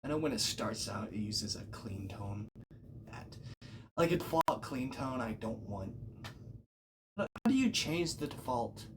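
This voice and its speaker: A man's voice: medium pitch, sing-song, unhurried. A man chatting casually on a livestream, muttering to himself in a self-deprecating, sweary, grumbling way as he is recorded through a phone microphone. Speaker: live streamer. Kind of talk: casual livestream chat, muttering to himself during audio setup. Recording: noisy phone microphone with background ambience. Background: clear background noise.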